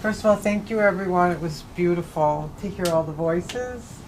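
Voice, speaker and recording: Lower-pitched woman asking an audience question. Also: normal speed, noisy background. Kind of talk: informal spoken question. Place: bookstore event space.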